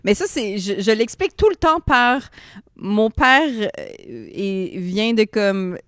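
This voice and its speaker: A woman's voice: medium pitch, very animated, takes it slowly, a bit bright, dry recording, studio quality. A woman with a slight French accent, a comedian guest chatting casually on a podcast, playful and blunt, swearing freely. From France.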